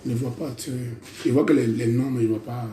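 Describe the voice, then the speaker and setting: A man's voice: mid-range voice, fairly even intonation, fast, warm tone, slight background noise. A man preaching a prophetic sermon, declaiming in repeated phrases and calling listeners brother. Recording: echoey room.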